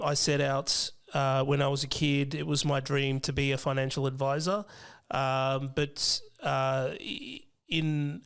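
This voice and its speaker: A young man with an Australian accent, whose first language was Fijian, talking casually as an interview guest, hesitant with many pauses and restarts. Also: a little high, creaky, fairly bright tone, clean tone. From Western Sydney, Australia. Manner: hesitant, many ums and restarts, laughs easily. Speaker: financial planner guest.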